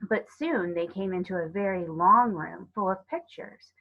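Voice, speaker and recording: A woman narrating a children's story aloud in a measured, expressive read-aloud way. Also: lowish voice, creaky, dull audio. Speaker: storybook reader.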